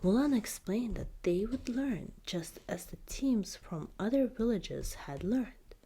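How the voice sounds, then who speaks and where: Slow, whispery woman reading a storybook. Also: mid-range voice, big pitch swings. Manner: soft-spoken, deliberate, drifting into whispers. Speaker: ASMR storyteller. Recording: clear background noise.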